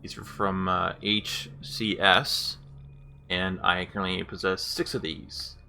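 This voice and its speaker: A man with a low but forceful, bright voice gives a casual demonstration of gaming software. He speaks in a halting, deliberate way, as if issuing commands to a computer. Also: little pitch movement, a little hiss or hum. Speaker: video demonstrator, gamer.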